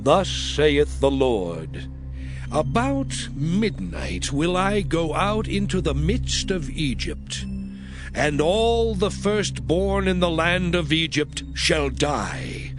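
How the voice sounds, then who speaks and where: An actor playing Moses, an earnest prophet, delivering slow, impassioned speeches. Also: male voice, on the high side, sing-song. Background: music.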